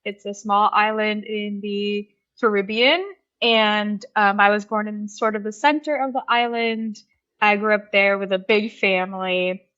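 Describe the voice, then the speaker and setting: A woman in her twenties from Puerto Rico, a PhD student, answering interview questions in a soft, easygoing way with frequent fillers. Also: medium pitch, fairly even intonation, a little slow, smooth, dull audio. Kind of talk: podcast interview.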